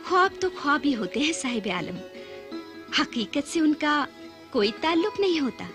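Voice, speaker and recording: High-voiced devoted palace maid. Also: female voice, sing-song, normal speed, a bit bright, faint music behind. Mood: respectful, tender. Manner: respectful, courtly. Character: devoted palace maidservant, gentle and deferential. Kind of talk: formal Urdu-flavoured period serial acting.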